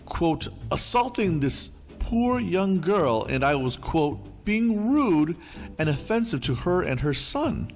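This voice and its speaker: A man hosting a storytelling channel, giving an expressive scripted reading of online stories. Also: mid-range voice. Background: faint background music.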